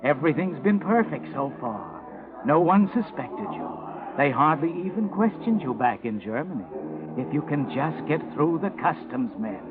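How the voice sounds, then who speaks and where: A man's voice: fairly high pitch, big pitch swings, moderate pace, phone-quality sound. With music underneath and a thin vintage radio sound, an ominous male storyteller. He narrates 1940s suspense in a sardonic manner, as if addressing the villain himself. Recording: band-limited old radio, music underneath.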